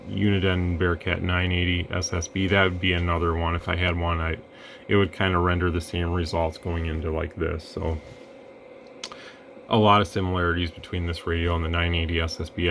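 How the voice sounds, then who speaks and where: A man testing radio gear in a relaxed, rambling way, breaking into mic checks and counting. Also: deep voice, normal speed, slight background noise. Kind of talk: casual product demo.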